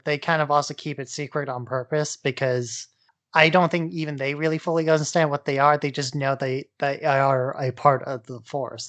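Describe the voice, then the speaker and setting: A man's voice: on the high side, fairly bright tone. A man co-hosting a podcast, talking in a casual, offhand, opinionated way. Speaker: podcast co-host.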